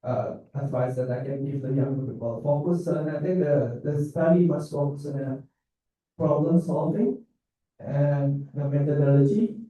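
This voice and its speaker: A man from Indonesia speaking on a panel, pausing and restarting phrases often in loose non-native grammar. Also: a little high, warm tone. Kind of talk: panel discussion. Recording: echoey hall, mic at a distance.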